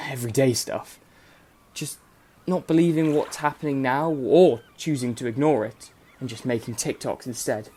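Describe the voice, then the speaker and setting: A man's voice: medium pitch, a little mellow. A man playing an irreverent, jokey narrator of a dystopian radio play, talking colloquially and wryly to listeners. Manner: colloquial, wry. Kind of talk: chatty storytelling addressed to listeners.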